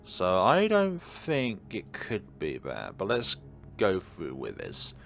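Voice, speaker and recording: A man with a slightly low voice plays commentator over a game. He reads narration aloud and wryly answers the characters, with faint music behind him. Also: huge pitch swings, slow, gravelly, a bit bright. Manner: wry, talking back to the characters. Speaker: let's play commentator.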